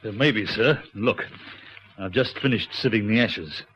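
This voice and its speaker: An actor playing a careful, plain-spoken police sergeant in a radio crime drama. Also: male voice, on the low side, a little slow, a bit bright. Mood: cautious, intent. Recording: dull, faintly noisy old radio recording.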